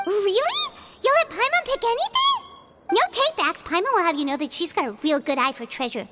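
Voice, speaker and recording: A woman voicing a tiny, excitable, greedy childlike fairy companion in a video game, with a squeaky voice and exclaiming manner. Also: a little fast, very noisy. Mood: greedy, excited. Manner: speaks of herself in third person, exclaiming.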